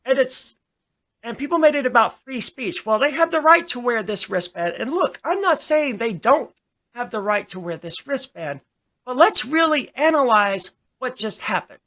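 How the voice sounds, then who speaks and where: A woman's voice: fairly high pitch, animated, normal speed, a bit bright. A woman giving her opinions in a casual discussion, speaking emphatically and often restarting her phrases. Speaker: podcast host.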